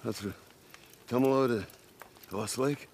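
An actor with an American accent playing a stubborn, ailing biker in a video game, gruff, slurred and gravelly. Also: male voice, mid-range voice, moderate pace, a little mellow, a little hiss or hum, natural voice. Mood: confused, stubborn. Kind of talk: naturalistic video game acting.

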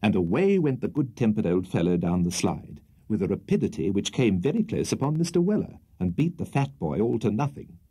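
A man's voice: lowish voice, big pitch swings, brisk, hardly any pauses, mellow, slightly rough. A British man reading a literary audiobook as storyteller, in a precise, theatrical manner. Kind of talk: scripted literary audiobook narration.

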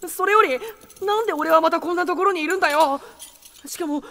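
Startled captive boy, anime audio drama; forceful, high, flustered and protesting. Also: quick pace, slight background noise. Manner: startled, exasperated, stammering.

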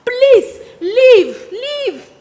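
A woman's voice: extremely high pitch, sing-song, a little fast, fairly bright tone, full character voice. A woman playing a wary, indignant older sister in a drama, protesting and repeating herself for emphasis. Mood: wary, pleading.

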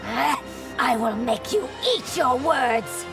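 A woman's voice: high voice, takes it slowly, creaky, music in the background, exaggerated voice. An actress voicing a stern, defiant warrior noblewoman in a video game, formal and scornful. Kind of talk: video game fight taunts.

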